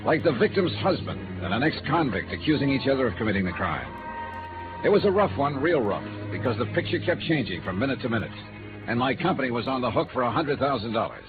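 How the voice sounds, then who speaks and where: An actor playing a wry, hard-boiled American insurance investigator in clipped, world-weary 1950s radio noir style. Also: male voice, mid-range voice, sing-song, quick pace, natural voice. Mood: wry narrating. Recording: tinny old radio recording with music.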